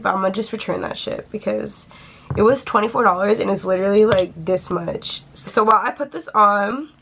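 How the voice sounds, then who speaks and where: A woman's voice: mid-range voice, gravelly, fairly bright tone. A young woman chatting casually and swearing freely while she does her makeup in a small room. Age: young adult. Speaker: beauty vlogger.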